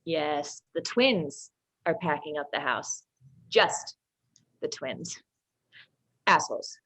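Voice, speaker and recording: A woman playing a fiercely maternal vampire sister, defiant yet tender, in a husky voice for a play script reading. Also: deep voice, animated, slow, fairly bright tone, exaggerated voice. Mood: bitter. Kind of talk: dramatized livestream script reading.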